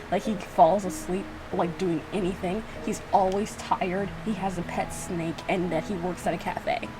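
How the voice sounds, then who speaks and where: A woman gives casual playthrough commentary at a middling pitch, with music clearly playing behind her. She rambles along in a giggly way and makes fun of herself as she goes. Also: low-quality recording. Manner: self-mocking, giggly, rambling. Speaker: video creator reacting to own game.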